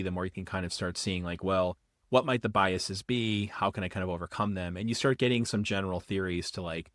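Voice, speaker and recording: A man, a professor from the Boston area, explaining ideas quickly in a casual podcast interview, often restarting his sentences. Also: low-pitched, fairly bright tone, no room sound, clean studio sound. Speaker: guest, political philosophy professor.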